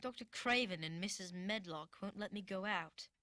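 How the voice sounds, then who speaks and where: An actor playing a sickly, spoiled young boy in an animated drama, with an imperious, whiny, dramatic manner. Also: mid-range voice, moderate pace, dry recording, lightly acted. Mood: fearful, subdued.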